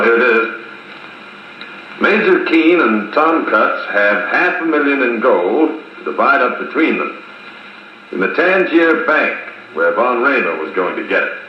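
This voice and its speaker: An actor playing a brisk, decisive American military officer running a conference in a 1950s radio drama. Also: male voice, mid-range voice. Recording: muffled, echoey 1950s wire recording with hiss.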